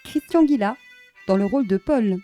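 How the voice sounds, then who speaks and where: A woman's voice: mid-range voice, animated, normal speed, dark tone, soft background music. A woman reading out scripted credits. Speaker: credits reader.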